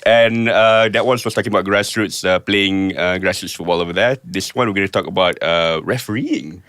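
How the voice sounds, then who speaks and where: A relaxed Malaysian man co-hosts a podcast, speaking in conversational English and laughing easily. His voice sits fairly low for a man. The recording is close and dry. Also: a little fast, no room sound. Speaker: podcast co-host. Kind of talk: casual podcast chat.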